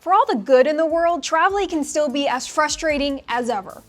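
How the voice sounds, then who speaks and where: A woman with a high voice co-hosts a sports show. She presents scripted segments over faint music. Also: animated, a little fast.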